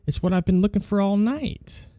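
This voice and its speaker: A man playing a suave vampire lord in an intimate ASMR roleplay, teasing and condescending in a slow, menacing purr. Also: high-pitched, mellow, no echo, lightly acted. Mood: teasing, smug.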